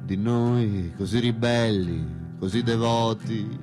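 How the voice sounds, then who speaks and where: A man's voice: on the low side, fairly even intonation, slow. A man singing a theatrical song in the storytelling style of a stage singer-songwriter. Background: plucked guitar accompaniment.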